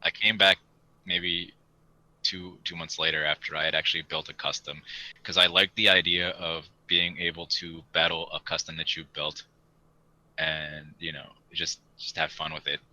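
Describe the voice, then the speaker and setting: Very deep, sharp-voiced man. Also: little pitch movement, moderate pace, poor audio. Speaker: podcast host. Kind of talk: casual chat.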